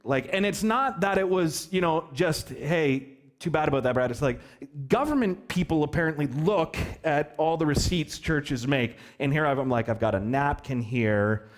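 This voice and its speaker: Man, pastor, storytelling sermon, slightly high pitch, playful, asks the room questions. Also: moderate pace. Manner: animated, joking, asks the kids questions. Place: church hall.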